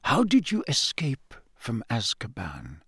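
남자가 연기하는 차분하고 위엄 있는 노마법사. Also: male voice, mid-range voice, wildly swooping pitch, husky, no room sound, clean studio sound, exaggerated voice. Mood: gentle interrogation. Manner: measured, soft-spoken, commanding. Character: calm, authoritative elderly male wizard headmaster.

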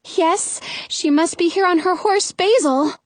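A woman's voice: very high-pitched, unhurried, dry recording, voice pushed up. A woman voicing a frightened, naive fairy-tale girl, pleading and hesitant.

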